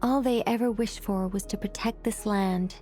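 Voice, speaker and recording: A woman voicing a composed, wise character in a video game, recounting lore in a calm, measured way. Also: medium pitch, faint music behind, lightly acted. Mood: solemn. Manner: calm, measured, solemn storytelling. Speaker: story character explaining lore.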